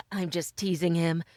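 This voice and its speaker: A woman's voice: lowish voice, no echo, clean studio sound, exaggerated voice. A woman playing a cheerful, eager middle-aged wife in an old-time radio style drama. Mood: teasing.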